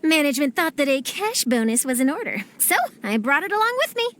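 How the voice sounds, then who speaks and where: Bubbly nosy young reporter gal, high lively voice, music in the back. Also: female voice. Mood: upbeat. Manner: chipper, persuasive, quick. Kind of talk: video game cutscene dub.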